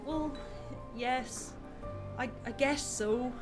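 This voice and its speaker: Woman as despairing newlywed, high voice, plaintive, melodramatic, radio drama, music clearly behind. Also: extremely slow. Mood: distraught, pleading. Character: distraught, despairing young newlywed.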